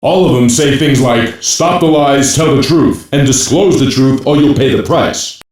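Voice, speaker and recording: An actor playing a steady, businesslike male cyber police operative, giving procedural reports in dubbed anime game dialogue. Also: mid-range voice, unhurried, natural voice. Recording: very echoey, distant from the mic.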